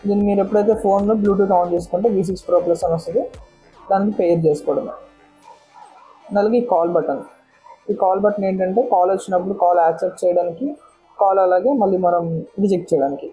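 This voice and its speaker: A woman's voice: mid-range voice, fairly even intonation, fast talker, warm tone, faint music behind, poor audio. A woman vlogger giving a casual, step-by-step product walkthrough, often repeating words as she explains. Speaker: motorcycle vlogger and product reviewer.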